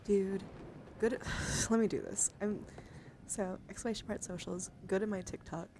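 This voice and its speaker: A woman live-streaming ASMR, chatting casually and playfully to viewers in a voice that drifts between soft speech and hushed whispering. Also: medium pitch, steady tone, normal speed. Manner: playful, giggly, easily sidetracked. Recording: clearly audible background noise.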